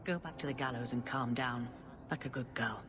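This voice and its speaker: An actress playing a serene elderly female religious leader, speaking in a measured, genteel and gently patronizing way in a fantasy game. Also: very deep voice, background noise. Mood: firm, patronizing. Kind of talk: dramatic fantasy video game acting.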